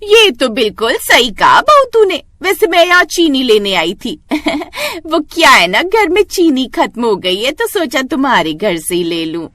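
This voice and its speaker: Woman acting a high-pitched nosy neighbour gossip. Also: big pitch swings, measured pace, a bit bright, dry recording, exaggerated voice. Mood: ingratiating. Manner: insinuating, exclaiming.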